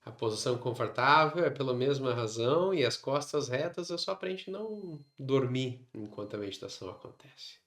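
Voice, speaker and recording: A man with a Brazilian Portuguese accent leading a guided meditation, instructing in short phrases separated by long pauses. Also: mid-range voice, expressive, fairly bright tone. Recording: roomy, echoing sound.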